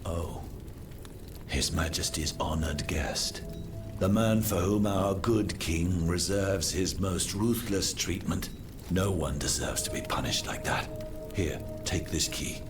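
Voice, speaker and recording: An actor playing an anguished, guilt-ridden Paris executioner in a video game cutscene, rambling theatrically. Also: male voice, sits low. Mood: grave, urgent. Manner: theatrical, rambling, dropping into breathy near-whispers.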